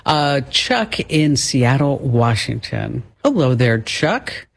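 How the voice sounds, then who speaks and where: A man's voice: medium pitch, very animated, slow, gravelly, no echo. A man hosting a radio call-in show, speaking in a conversational presenter's style. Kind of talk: conversational hosting and scripted ad reads.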